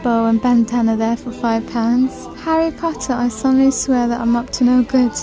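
Woman filming a shop walkthrough, bit high voice, gushes over stuff and reads prices, music playing under it. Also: steady tone, moderate pace. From United Kingdom. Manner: chatty, gushing over items and reading out prices. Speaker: shopping vlogger. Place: large supermarket, indoors.

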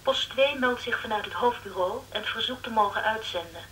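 An actress playing a businesslike radio station assistant, reporting formally and factually over an intercom in a radio drama. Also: female voice, on the high side, fairly quick. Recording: distant, hissy radio line.

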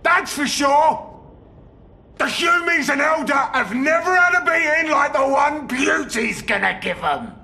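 An actor playing a proud, manic ork mechanic in a video game, boastful and raspy with a Cockney accent. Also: male voice, very high-pitched, lively intonation, unhurried, exaggerated voice. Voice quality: raspy, gravelly. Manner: forceful, boastful. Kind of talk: video game character acting.

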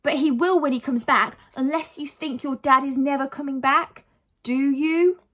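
A woman's voice: on the high side, expressive, fairly quick, breathy edge. A woman voicing a sensible, bossy young schoolgirl from a children's storybook, scolding emphatically in an exasperated, principled way. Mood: stern scolding. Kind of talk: children's storybook character reading.

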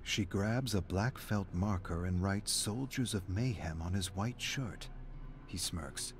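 A man's voice: deep voice, slow. A man reading a scripted, dramatic story narration as the narrator. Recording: background noise under game narration.

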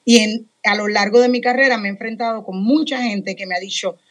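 A middle-aged woman with a Puerto Rican accent, a radio director moderating a panel on a video call, talkative and animated, joking at her own expense. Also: medium pitch, a little fast, muffled recording. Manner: talkative, animated, self-deprecating. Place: home room with headphones and studio mic. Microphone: studio microphone on a video call.

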